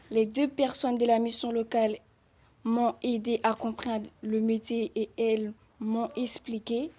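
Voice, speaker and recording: A woman's voice: on the high side, darkish tone, slight background noise. A young woman, a student, giving a prepared explanatory account. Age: young adult. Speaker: high school student.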